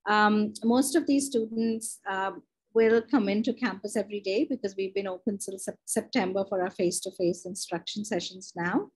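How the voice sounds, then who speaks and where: A woman's voice: medium pitch, normal speed, slightly rough, poor audio. A young adult woman from India, a university librarian, presenting a webinar over a video call in a conversational way, with many fillers and self-corrections.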